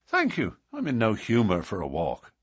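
A defiant young man from a storybook, played by a male narrator. His voice is husky and moves freely in pitch, cool and challenging with rivals and tender as a protective lover. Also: wildly swooping pitch, normal speed, no echo, exaggerated voice. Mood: cool defiance.

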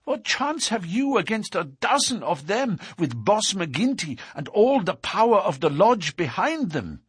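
Give the storybook's frightened young sweetheart as a man acts her out. The voice is lifted high, husky and very lively. She is breathless and imploring, a young woman pleading out of love. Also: unhurried, dry recording, studio quality, full character voice. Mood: fearful.

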